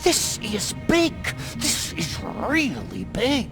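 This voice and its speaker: An actor playing a brainy, earnest resistance tech expert in a video game, explaining technical things excitably. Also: male voice, high voice, very slow, vocal fry, over music, exaggerated voice. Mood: excited, awed.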